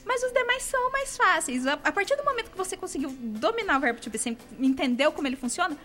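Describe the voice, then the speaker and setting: A woman guest and language teacher, explaining calmly and agreeing often in a casual chat. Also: extremely high pitch, quick pace, faint music behind.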